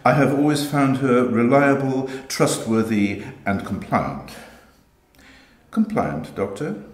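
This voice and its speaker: A man's voice: medium pitch, expressive, takes it slowly. Echoey man reading a dramatic dialogue. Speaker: author reading own stories. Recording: roomy, echoey live reading.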